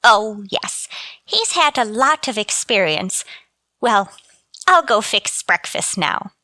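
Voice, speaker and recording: Woman playing bright, dithery sitcom landlady. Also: mid-range voice, very animated, slow, no echo, full character voice. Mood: dithery, rambling. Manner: sing-song cheer, dithery and absent-minded. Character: chirpy, scatterbrained, motherly female landlady. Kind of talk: scripted radio sitcom acting.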